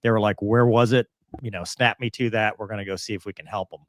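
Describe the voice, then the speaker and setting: A man hosting a casual aviation discussion, speaking in a halting, earnest, conversational way. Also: lowish voice, steady tone. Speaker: show host and commentator.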